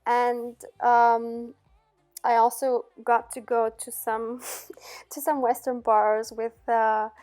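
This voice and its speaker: A middle-aged woman raised in Austria, answering viewer questions in a relaxed vlog with many "um"s and little laughs. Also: on the high side, slow pace. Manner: chatty, frequent "um" and soft laughs. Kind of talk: casual Q&A chat.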